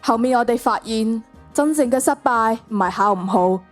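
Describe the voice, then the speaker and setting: A woman's voice: a little high, normal speed, soft background music, lightly acted. A teenage girl playing an earnest, emphatic schoolgirl club leader in a radio drama.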